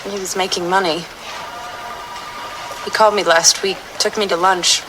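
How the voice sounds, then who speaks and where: A woman playing a miserable, grieving young woman in a crime drama, speaking in a halting, hesitant way. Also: medium pitch, steady tone, close to an everyday voice. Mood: bitter sorrow. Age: young adult. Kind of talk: naturalistic crime drama acting. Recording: noisy location sound with ambience.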